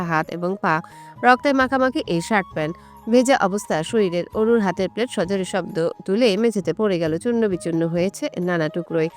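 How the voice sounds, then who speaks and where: Female narrator for scripted romantic fiction, average register, light music bed underneath. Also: mid-range voice. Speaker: storyteller.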